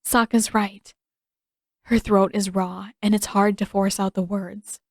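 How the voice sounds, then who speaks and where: A woman reading story narration in a measured, expressive storytelling manner. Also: mid-range voice, dry recording, studio quality. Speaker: fan fiction narrator.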